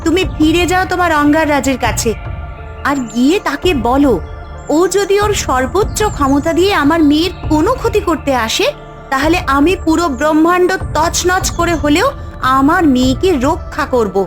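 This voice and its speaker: Woman playing a high-voiced noble fairy. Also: moderate pace, faint music behind, close to an everyday voice. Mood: stern, defiant. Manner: earnest, declamatory. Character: noble fairy mother, protective, gracious and resolute. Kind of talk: animated fairy-tale cartoon acting.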